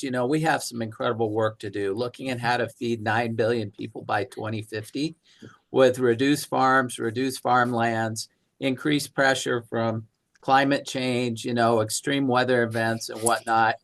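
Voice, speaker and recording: A man hosting a panel discussion, asking long, measured questions of his guests. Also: mid-range voice, fairly even intonation, gravelly, fairly bright tone. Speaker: host, moderator.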